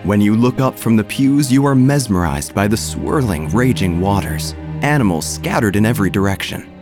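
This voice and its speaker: A man's voice: fairly low pitch, expressive, normal speed. A man playing a droll, gossipy small-town church narrator, telling a scripted comic story with wry, theatrical phrasing. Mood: wry, storytelling. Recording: music under the voice.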